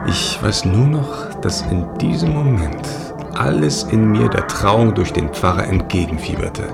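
A man playing a brooding sect leader in a science fiction audio drama, speaking with dramatic, measured delivery. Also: on the low side, creaky, over loud music. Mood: haunted, awed. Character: brooding male sect leader in space, recounting his downfall.

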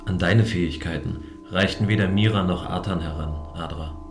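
Male actor as lonely space watchman, low voice, dry and reflective, sci-fi radio play with faint music bed. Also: moderate pace, close to an everyday voice. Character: lonely male space station guardian, brooding and wry. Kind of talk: scripted first-person audio drama narration.

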